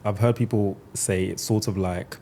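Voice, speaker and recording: A man's voice: lowish voice, fairly even intonation, moderate pace, warm tone, a little hiss or hum. A man hosting a podcast, asking long, reflective questions in a thoughtful way. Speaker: podcast host. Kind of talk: conversational podcast interview.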